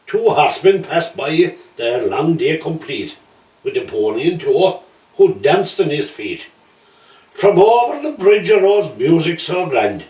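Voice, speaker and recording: Echoey, expressive man reciting verse. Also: fairly high pitch. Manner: lilting, theatrical, measured storytelling. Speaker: poetry reader. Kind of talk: dramatic storybook reading of rhyming comic verse. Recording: roomy echo, distant from mic.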